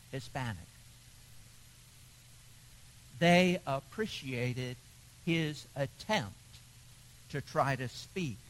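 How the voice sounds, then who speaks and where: Slow-speaking preacher man. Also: on the high side, a bit bright, a little hiss or hum, rough recording. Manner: storytelling, measured with pauses. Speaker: pastor. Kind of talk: sermon.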